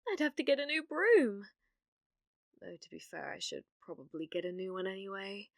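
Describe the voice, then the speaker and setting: A woman's voice: mid-range voice, big pitch swings, normal speed, no echo, clean studio sound. A woman with a British accent playing a clumsy, obsessive, lovestruck young witch in an ASMR roleplay, with hesitant, awkward speech. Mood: musing to herself. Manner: flustered, stammering, hesitant, breathy murmuring asides.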